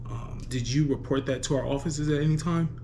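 A man's voice: on the high side, steady tone, brisk, mellow. Man making an official-sounding prank call, noisy recording. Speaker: prank caller. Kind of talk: prank phone call posing as a parole officer.

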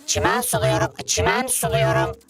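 A man's voice: a bass voice, wildly swooping pitch, normal speed, a bit bright, exaggerated voice. A man voicing a simple, malfunctioning lawnmower robot in a cartoon dub, repetitive and mechanical. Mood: obliviously chirpy. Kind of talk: animated cartoon dub acting.

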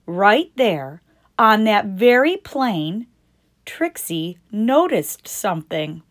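A woman reading a picture book aloud as a storytime host, deliberate and expressive for young children. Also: medium pitch. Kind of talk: scripted picture-book narration read aloud.

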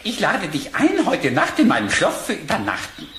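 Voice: medium pitch, huge pitch swings, moderate pace, a bit bright. An actor playing a cheeky, bold male rabbit who wisecracks and haggles in a children's cartoon audio play. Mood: sly, cheeky. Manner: brash, wisecracking. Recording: roomy, faint background noise.

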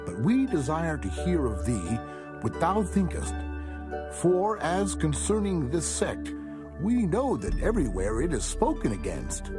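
An actor playing a solemn elder questioning a prisoner in dramatized Bible audio, formal and declamatory. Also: male voice, on the high side, huge pitch swings, measured pace, over music. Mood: formal, inquiring.

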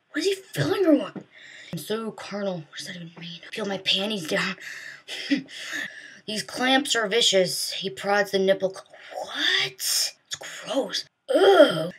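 A woman's voice: medium pitch, a bit bright, husky. A woman reading erotic prose aloud, mocking it with baffled, incredulous asides.

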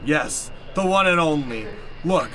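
A man's voice: fairly high pitch, lively intonation, unhurried, sharp tone, slight background noise, low-quality recording. A man playing a blustering, eccentric older professor in an improvised radio comedy, theatrical, pompous and scolding. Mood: insistent. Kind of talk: improvised old-time radio comedy acting.

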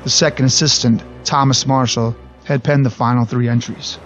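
A man narrating a scripted documentary in a measured, even storytelling manner. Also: mid-range voice. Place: voice-over recorded apart from the footage. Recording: slightly dull recording with faint music.

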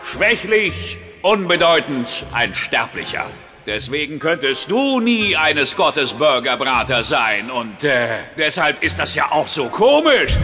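A man's voice: fairly high pitch, in a large hall. A pompous god of the sea from a cartoon radio play. He declaims in lofty, old-fashioned words with huge melodic swings, scornful and vain, while music plays behind him. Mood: scornful amusement. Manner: theatrical, lofty old-fashioned diction, haughty. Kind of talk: cartoon audio drama acting.

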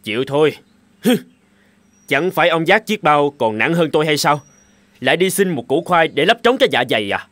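An actor playing a greedy, foolish poor peasant in a cartoon folk tale, whining and grumbling. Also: male voice, on the high side, very animated, moderate pace, fairly bright tone, no room sound. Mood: irritated.

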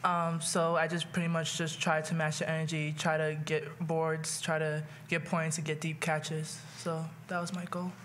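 A woman's voice: low-pitched, flat delivery, normal speed, hardly any pauses, a bit bright, a little hiss or hum. A young woman basketball player giving a hesitant postgame answer into a table mic. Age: young adult. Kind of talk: postgame press conference answers.

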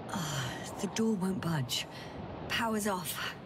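A woman's voice: lowish voice, lively intonation, slow pace, recorded from a distance, heavy background noise. An actress playing a weary woman scientist on an alien planet in a video game, speaking in a solemn, murmured way. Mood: tense, focused. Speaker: space mission crew doctor. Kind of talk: dramatic video game voice acting.

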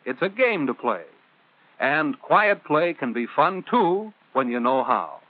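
Animated American narrator, tinny 1950s film soundtrack. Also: male voice, fairly high pitch, slow pace. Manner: conversational, addressing the child directly; simple, explanatory phrasing for children. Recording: muffled telephone-like old film soundtrack.

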